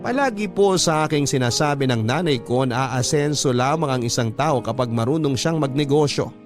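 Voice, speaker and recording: A man's voice: medium pitch, normal speed, lightly acted. A man narrating a scripted first-person letter for a radio drama, politely and earnestly reminiscing. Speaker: storyteller reading a listener's letter. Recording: music bed under the voice. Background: background music.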